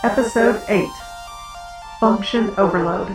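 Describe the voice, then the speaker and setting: A woman's voice: on the high side, unhurried. A woman reading a scripted recap as the narrator of a show. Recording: distant, echoey, music behind. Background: theme music.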